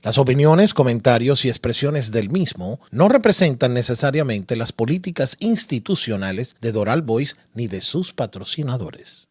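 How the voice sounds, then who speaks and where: Husky male announcer, formal disclaimer. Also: mid-range voice, animated, a little fast, hardly any pauses, darkish tone, dry recording, studio quality. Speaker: station announcer.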